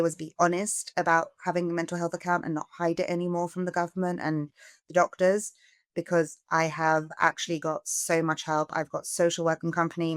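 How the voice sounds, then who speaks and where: A middle-aged woman from England, a charity founder giving a podcast interview, earnest and growing animated as she asks for help. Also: low voice, smooth, dry recording, clean studio sound. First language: English.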